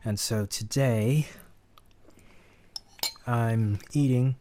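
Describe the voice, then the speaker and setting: A man hosting a casual audio editing tutorial, chatting in a relaxed way and laughing at himself. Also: mid-range voice, very slow, slight background noise. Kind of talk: casual screen-recorded tutorial.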